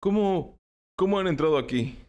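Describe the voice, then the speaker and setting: A man's voice: on the high side, lively intonation, normal speed, a little mellow, lightly acted. A man playing a bewildered, earnest knight in an audiobook, with a questioning manner. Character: puzzled, earnest male knight in rusty armor. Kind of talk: audiobook character acting.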